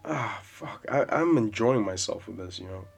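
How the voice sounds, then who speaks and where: A man in his twenties hosting a solo podcast, rambling casually and swearing freely in a loud voice with bass. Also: lively intonation, moderate pace, gravelly, breathy edge. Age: 20s. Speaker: podcast host. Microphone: podcast mic. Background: soft jazz music.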